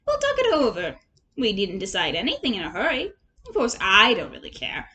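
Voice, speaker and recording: Woman voicing a boastful, swooping toad gentleman. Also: fairly high pitch, huge pitch swings, fairly quick, slight background noise, pitched below the performer's own voice, exaggerated voice. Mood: smooth, persuasive. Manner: imploring, theatrical, gushing. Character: boastful, wheedling male toad gentleman, excitable and bossy. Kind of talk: storybook character voice.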